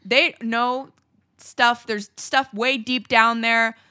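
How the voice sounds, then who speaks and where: A woman's voice: fairly high pitch, takes it slowly, clear, resonant tone, no echo, clean studio sound. A young woman co-hosting a podcast, casually telling a creepy story with jokey, profane asides. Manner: animated, swearing, jokey asides. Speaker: podcast co-host.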